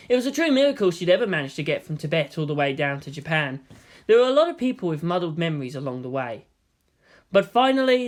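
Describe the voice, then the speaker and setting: Narrator: man with a lightly high voice, delivering prose fiction as a scripted read.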